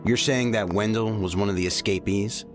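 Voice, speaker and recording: An actor playing a blunt, stubborn special agent in an audio drama. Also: male voice, fairly low pitch, steady tone, moderate pace, natural voice. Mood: pensive, puzzled. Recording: faint music behind the voice.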